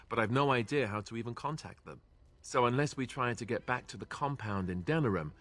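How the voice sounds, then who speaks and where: A young warrior, lowish voice, earnest but hesitant, fantasy game, faint music. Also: male voice, a bit bright. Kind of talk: fantasy video game acting.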